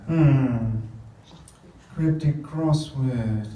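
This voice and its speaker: An actor playing a dry, unhurried, deadpan psychologist in a comedy radio play on stage. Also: male voice, mid-range voice. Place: theatre stage, picked up from afar. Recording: roomy distant stage recording, heavy noise.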